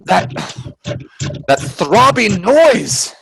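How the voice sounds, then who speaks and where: A man playing an earnest, uneasy gentleman adventurer in a radio play, telling his tale with theatrical, hesitant suspense. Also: high-pitched, very animated, extremely slow, gravelly, a crowd in the background, full character voice. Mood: nervous, alarmed. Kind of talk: dramatic radio play narration and dialogue.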